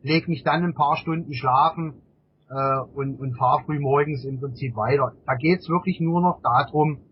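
A middle-aged man with a husky voice answers casually as an interview guest, often repeating words while he searches for phrasing. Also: mid-range voice, normal speed. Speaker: interview guest, amateur endurance cyclist. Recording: dull, lo-fi interview audio.

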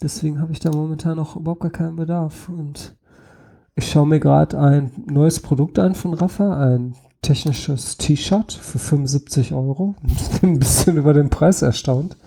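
Gravelly man with a slight cold. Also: a little high, moderate pace. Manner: hesitant, lots of fillers, quiet laughs. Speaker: podcast co-host. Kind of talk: casual chat.

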